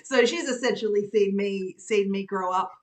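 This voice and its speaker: An Australian woman hosts a relaxed live stream, chatting warmly and laughing between her sentences. She fills her pauses with plenty of ums and uhs. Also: medium pitch. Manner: chatty, laughs often, many fillers. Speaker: host. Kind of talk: casual live-stream chat.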